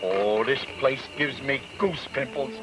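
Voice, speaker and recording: Mid-range man, playing an uneasy crime-film sidekick, 1940s delivery, loud music over old soundtrack. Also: medium pitch, unhurried, close to an everyday voice, low-quality recording. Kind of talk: 1940s film noir dialogue.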